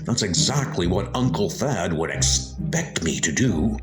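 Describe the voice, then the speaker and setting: A man's voice: sits low, expressive, measured pace, over music, pitched below the performer's own voice. A man playing a scheming, pompous heir and murderer, theatrical and gloating, in a comic ghost story dub. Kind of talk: comic dub horror acting.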